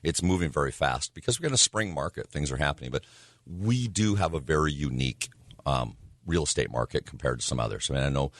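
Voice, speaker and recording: A man with a hoarse, raspy voice, talking casually as a real estate agent on a radio show, rambling with lots of fillers. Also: extremely low pitch, fairly bright tone, no echo. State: hoarse from yelling.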